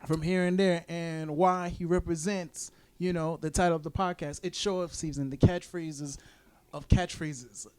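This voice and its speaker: A man's voice: a little high, steady tone, moderate pace, smooth. A young man hosting a casual podcast interview, loose and joking. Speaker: podcast host.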